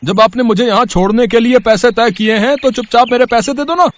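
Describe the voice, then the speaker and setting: A man's voice: very high voice, fairly quick, dry recording. A man playing an aggrieved, argumentative auto driver in an acted storybook dialogue. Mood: indignant.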